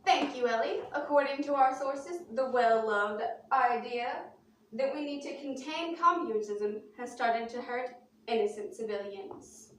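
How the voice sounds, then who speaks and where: A woman's voice: on the high side, unhurried, slight background noise. A woman news anchor reading a scripted mock bulletin and interviewing, in a very echoey room with the mic at a distance.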